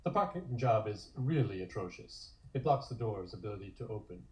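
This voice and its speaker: A man's voice: lowish voice, lively intonation, fairly quick, a little mellow. A man narrating scripted scenes in the present tense for a comic table read.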